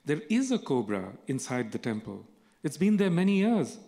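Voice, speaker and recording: A man voicing a wary, secretive teenage caretaker from the countryside, speaking in a hushed, earnest way. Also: fairly high pitch, very animated, normal speed.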